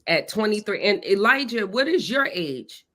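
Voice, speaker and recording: A woman's voice: mid-range voice, sing-song, normal speed, fairly bright tone. A woman hosting an interview, asking short, affirming questions. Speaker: interviewer host.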